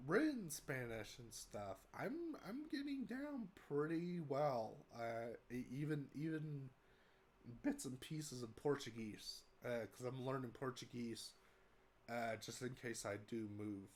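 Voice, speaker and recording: A congested young man from the United States, stuffy from a cold. He talks to the camera in a loose, rambling way, with plenty of ums, uhs and restarts, and his pitch sits in an ordinary middle range. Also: expressive, slow. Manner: rambling, many fillers and restarts. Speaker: vlogger. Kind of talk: casual update talk to camera.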